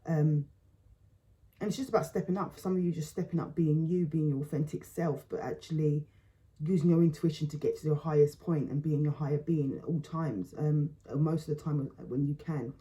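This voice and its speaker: A woman giving a relaxed spoken tarot reading, thinking aloud in halting phrases and often repeating words. She says her voice feels low today. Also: poor audio. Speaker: tarot reader.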